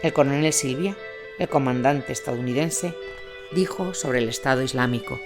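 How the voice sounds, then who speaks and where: A man's voice: medium pitch, moderate pace. A man narrating scripted war reportage in a read, journalistic style. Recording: music bed under voice.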